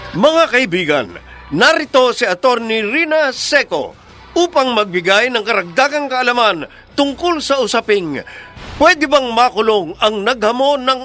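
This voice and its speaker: A man's voice: very high voice, big pitch swings, a little slow, pushed and bright, faint music behind. A man with a Filipino accent delivering a scripted radio introduction as program announcer.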